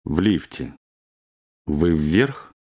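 A speaker reading scripted language-course drill lines slowly and clearly. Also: a bass voice, big pitch swings, dark tone. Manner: slow, clear, carefully articulated.

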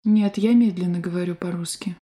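A woman reading a scripted language-course drill slowly and clearly in a studio. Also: lowish voice, steady tone, dark tone. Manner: slow, clear, carefully articulated.

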